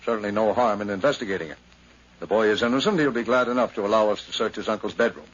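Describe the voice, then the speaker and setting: An actor playing a measured, shrewd police inspector, courteous and probing, in a 1950s radio drama. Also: male voice, fairly low pitch, lightly acted. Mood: probing, assured. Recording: muffled 1950s radio recording.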